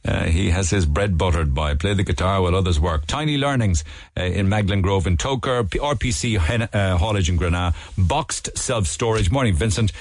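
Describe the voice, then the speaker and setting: A man with a Cork Irish accent hosting a radio show, reading listener shout-outs and teasing callers. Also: low voice, dry recording. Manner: brisk, jokey, teasing. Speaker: radio host. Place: radio studio.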